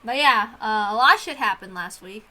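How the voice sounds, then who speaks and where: A woman with a high, very bright voice talks casually on a livestream. She rambles, breaks off her own sentences and starts again, with an apologetic manner. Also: animated, fairly quick. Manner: rambling, apologetic, self-interrupting. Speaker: streamer who reads visual novels aloud.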